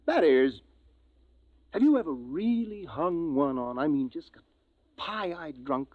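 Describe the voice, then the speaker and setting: Through a narrow, tinny vintage radio band comes an American spaceship captain, a man of thought and command. His higher-set voice swings expressively as he reasons and gives deliberate orders in 1950s science fiction radio style. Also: sing-song, no room sound, exaggerated voice. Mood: commanding, urgent. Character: commanding, thoughtful male spaceship captain who narrates the story.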